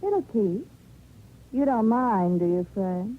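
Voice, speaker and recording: An actress playing a jaded, flirtatious small-town newspaper office worker in a 1950s radio drama, with a cool sardonic manner. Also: female voice, mid-range voice, lively intonation, slow pace. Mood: bored, defiant. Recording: narrow vintage radio recording, faint noise.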